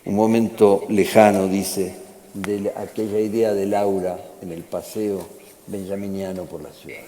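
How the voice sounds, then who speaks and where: A man on a conference panel reading a letter aloud in a measured way. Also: deep voice, little pitch movement, dark tone, slight background noise. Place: conference hall. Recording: echoey hall with long reverb.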